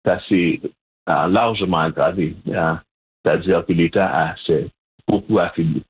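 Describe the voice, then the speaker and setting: A man from Montreal, answering interview questions in a measured, hesitant way with frequent restarts. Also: low-pitched, steady tone, a little hiss or hum. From Montreal, Canada. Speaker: guest, Haitian community organizer. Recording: phone-line audio, husky.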